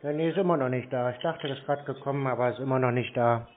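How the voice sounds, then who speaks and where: A man's voice: medium pitch, fast talker. A man playing dumb on a phone call with scammers, turning mocking and irritated. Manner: mock-naive, then mocking and irritated. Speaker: scam-baiting call recipient. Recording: tinny phone line.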